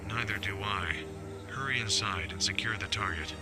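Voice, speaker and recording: A man playing an authoritative older military commander in a radio drama, giving firm, measured briefings. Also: fairly low pitch, over music, natural voice.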